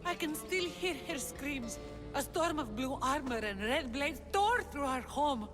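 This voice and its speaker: A woman playing a brave young rebel leader and huntress in a video game, haunted yet tender, speaking in an earnest, measured, dramatic way. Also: high voice, lively intonation. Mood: anguished. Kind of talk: cinematic video game acting. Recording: cinematic music behind the voice. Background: music.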